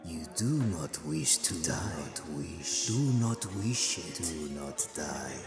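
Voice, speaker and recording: A man's voice: a bass voice, lively intonation, takes it slowly, exaggerated voice. A man voicing a cruel whispering spirit that taunts a woman from inside her head, in a radio play. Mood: menacing. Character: hissing male inner-voice spirit, cruel, relentless. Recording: roomy echo, loud music behind.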